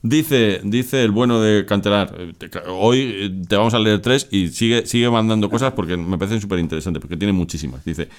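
A man's voice: on the low side, brisk, hardly any pauses. A man hosting a casual chat, joking around and reading out listener questions. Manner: playful and joking.

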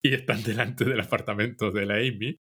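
A man's voice: on the low side, steady tone, fairly quick, fairly bright tone, slightly rough. A man co-hosting a podcast, recapping a cartoon episode in casual chat. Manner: recaps episode plot, quotes lines with little acting. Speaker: podcast co-host.

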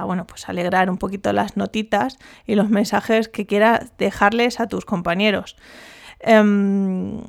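A woman's voice: mid-range voice, gravelly. A woman hosting a casual solo podcast, chatty and rambling with jokey asides. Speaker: podcast host.

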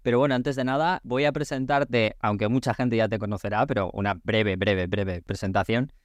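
Chatty podcast host. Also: male voice, on the low side, steady tone, normal speed, dry recording, studio quality. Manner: chatty, reassuring, with many fillers and rephrasings. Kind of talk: podcast interview.

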